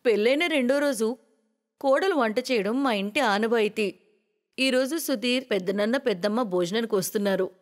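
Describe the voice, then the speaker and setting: A woman's voice: fairly high pitch, moderate pace, natural voice. A woman voicing a caring, instructive middle-aged mother-in-law in a story. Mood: polite instructing. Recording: long reverberant hall echo.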